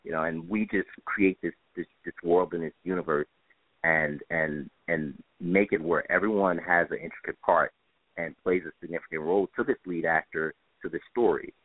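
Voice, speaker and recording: A man's voice: sits low, normal speed. A man being interviewed as a stage director, speaking conversationally and earnestly, repeating phrases for emphasis. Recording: tinny band-limited phone line, roomy echo.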